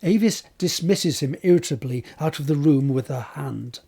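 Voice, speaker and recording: A man's voice: on the high side, expressive, moderate pace, creaky, warm tone, husky. A man reading scripted scene narration for an audio drama.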